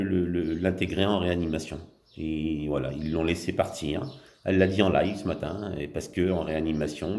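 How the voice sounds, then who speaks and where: A man giving casual spoken commentary in a vlog, halting and blunt, with many fillers and restarts. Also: deep voice, mellow. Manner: indignant, blunt. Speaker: vlogger.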